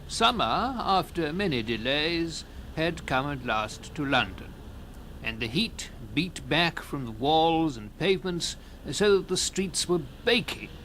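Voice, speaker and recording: A man playing a sociable, curious gentleman who narrates a literary tale and speaks in its dialogue, genial and expressive. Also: fairly high pitch, a little slow, a little hiss or hum. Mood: descriptive, wondering.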